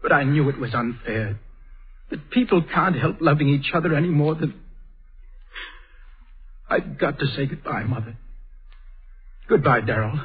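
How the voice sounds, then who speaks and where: A man's voice: fairly high pitch, animated, normal speed, long pauses, slightly rough. An actor playing an earnest, impulsive young man in a vintage radio drama, clipped and theatrical. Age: young adult. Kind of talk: 1930s radio acting. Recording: tinny old radio broadcast.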